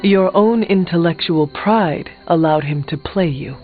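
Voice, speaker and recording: An actor playing a solemn young warrior hero in a video game, speaking in a measured, formal and earnest way. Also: male voice, a little high, close to an everyday voice. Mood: stern, blunt. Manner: measured, formal, avoids contractions. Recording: thin phone-like game audio, music behind.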